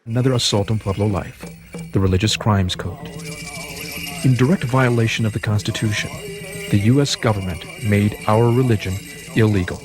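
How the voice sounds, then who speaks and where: A man narrating a documentary clip in a grave, measured tone. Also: fairly low pitch. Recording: documentary clip with music, voice sounds distant.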